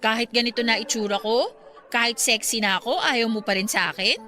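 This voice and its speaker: Woman acting lovelorn young girl in a radio romance, kinda high bright voice, pleading, music behind. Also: fairly quick. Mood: hurt, pleading. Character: lovelorn young woman, newly slimmed, hopeful yet hurt.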